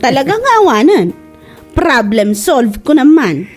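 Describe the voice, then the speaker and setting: A woman plays a nagging, teasing wife in a comedy drama. Her voice is extremely high and swings up and down, with faint music behind it. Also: big pitch swings, measured pace. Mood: bickering.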